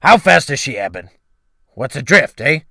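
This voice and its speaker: A man performs a gruff, excitable old seaman from an audiobook tale. He bellows in a bright, hard-edged voice, full of scorn and bluster. His talk comes in salty, snorted exclamations, recorded close and dry. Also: medium pitch, normal speed, no room sound, pitched above the performer's own voice, exaggerated voice. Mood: scornful, bellowing. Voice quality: loud, bellowing. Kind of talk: dramatic audiobook character acting.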